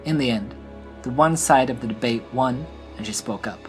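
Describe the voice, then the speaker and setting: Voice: medium pitch, normal speed. A narrator reading a scripted fantasy story in an audio drama. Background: music.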